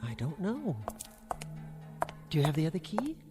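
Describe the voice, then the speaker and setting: An actress playing a sharp-tongued woman who talks in a sarcastic, biting way in a radio drama. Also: sits low, very animated, normal speed, loud music behind the voice. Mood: guarded.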